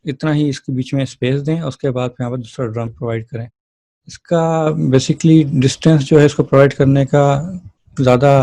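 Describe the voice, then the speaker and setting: A man's voice: mid-range voice, dark tone, no room sound. A man lecturing as a physiotherapy teacher, explaining things step by step in an informal way and often checking in with listeners.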